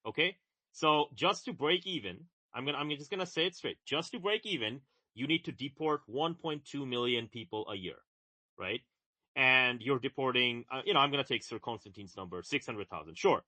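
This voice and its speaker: A man's voice: medium pitch, lively intonation, moderate pace, sharp tone, dry recording. A young man who grew up in Bangladesh, talking emphatically in casual political chat, repeating words and checking in with "right?". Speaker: podcast co-host.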